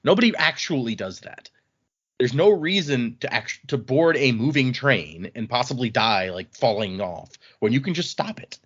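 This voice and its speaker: Stern, steady lawman, read plainly by a man; dull lo-fi recording. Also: medium pitch, normal speed, husky. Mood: grim. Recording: slightly muffled lo-fi audio.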